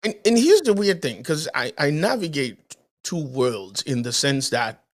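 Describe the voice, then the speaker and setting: A man's voice: on the high side, big pitch swings, a little slow. A man from the Dominican Republic co-hosting a podcast, chatting casually and often repeating words as he starts a thought. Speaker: podcast co-host. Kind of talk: casual conversation.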